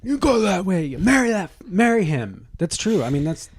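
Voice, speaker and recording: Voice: mid-range voice, sing-song, moderate pace, husky, no echo. A podcast co-host in casual banter, throwing in sarcastic, catty, sweary quips. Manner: sarcastic, bitchy quips, profane.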